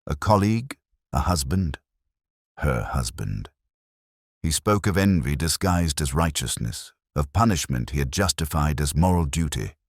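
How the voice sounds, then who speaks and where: A man narrating a first-person detective story in a measured, brooding, literary manner. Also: rumbling low, lively intonation, no echo, studio quality.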